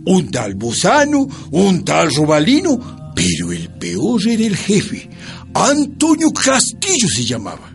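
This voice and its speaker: An actor playing a narrator who recounts a peasant family's hardships in a radio drama. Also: male voice, high-pitched, wildly swooping pitch, takes it slowly, vocal fry, exaggerated voice. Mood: reflective. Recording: music bed under the voice.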